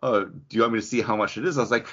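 A man with a low, forceful voice chats casually as a podcast co-host. He slips into quoted dialogue as he tells stories. The recording is slightly dull and lo-fi. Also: very fast, vocal fry. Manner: anecdotal, acts out remembered dialogue. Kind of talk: casual chat among friends. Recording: dull, band-limited audio.

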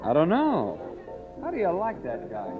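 A man's voice: a little high, wildly swooping pitch, normal speed. A man playing a jovial, easygoing young party host in a 1950s radio drama. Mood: baffled, teasing. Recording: muffled old radio broadcast, loud party music.

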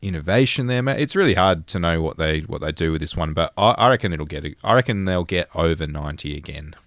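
A man's voice: low-pitched, expressive, quick pace, fairly bright tone, no echo, studio quality. A man co-hosting a casual gaming discussion, thinking things through in a conversational way. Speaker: podcast co-host.

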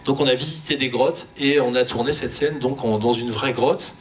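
A man's voice: fairly even intonation, normal speed. A man talking informally on an expert panel, explaining how an audio fiction was produced. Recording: background noise with low rumble.

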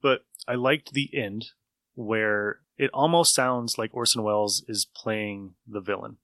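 A man hosting a podcast in casual, animated chat with his co-hosts. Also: fairly low pitch, a little slow, dry recording. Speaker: podcast host.

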